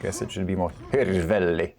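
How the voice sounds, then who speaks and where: Guy casting a game duel by himself, lowish voice, easygoing, fixes his words as he goes, bit of hiss. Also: normal speed. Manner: relaxed, chatty, self-correcting. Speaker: esports caster. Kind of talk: solo play-by-play commentary.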